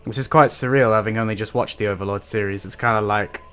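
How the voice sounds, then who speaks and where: A man's voice: on the low side, steady tone, quick pace, a bit bright, clean tone. A man hosting a reaction video with casual, amused, rambling off-the-cuff commentary.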